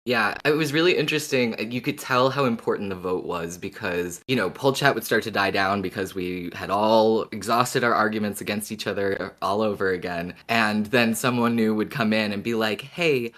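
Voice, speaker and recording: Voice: medium pitch, moderate pace, few pauses, fairly bright tone. A podcast co-host talking in a casual, conversational style with emphatic phrasing.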